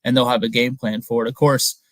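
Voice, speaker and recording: A young man, a guest reporter, giving measured, analytical answers in a casual interview over a video call. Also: mid-range voice, little pitch movement, no room sound. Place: indoor room at home. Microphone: webcam on a video call.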